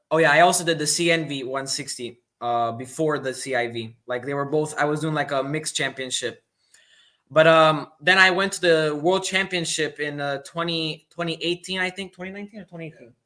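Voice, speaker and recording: A young man from the United States, answering interview questions in a halting way with many "um"s and "basically"s. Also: fairly high pitch, steady tone, bright, forceful tone. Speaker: guest, young racer.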